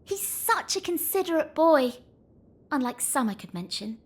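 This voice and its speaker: High-pitched teasing young girl adventurer. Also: big pitch swings, normal speed, slight background noise. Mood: chatty, snide. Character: teasing, bossy young girl adventurer, bravado over homesickness. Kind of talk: video game voice acting.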